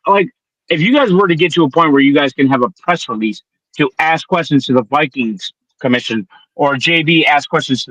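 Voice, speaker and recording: A man's voice: a little high, fairly bright tone, no echo, low-quality recording. A man in his twenties from the Detroit area, chatting about sports as a podcast guest in a rambling, joking, profane way. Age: 20s. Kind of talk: casual sports chat.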